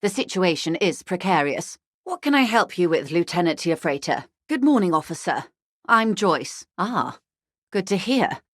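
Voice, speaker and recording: An actress playing a wealthy corporate negotiator in a video game, refined and polished, speaking with urbane, wryly courteous, measured irony. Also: female voice, lowish voice, very animated, no echo, studio quality. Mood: courteous, concerned. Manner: urbane, measured, dryly ironic. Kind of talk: scripted game dialogue, conversational.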